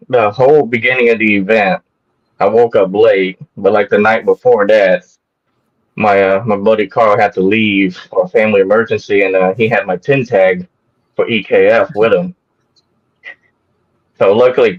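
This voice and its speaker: A man originally from Vietnam, a guest on a live show, telling a casual story in a rambling, hesitant way with many restarts. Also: on the low side. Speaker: guest kayak angler. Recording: muffled lo-fi audio.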